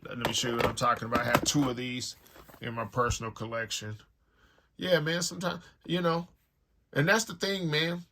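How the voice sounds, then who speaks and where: A man's voice: medium pitch, moderate pace, bright, forceful tone. An American man chatting casually to viewers as he hosts a collection unboxing, rambling along in slangy, conversational phrases. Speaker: music collector and online store owner hosting an unboxing. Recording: noticeable background noise with clicks.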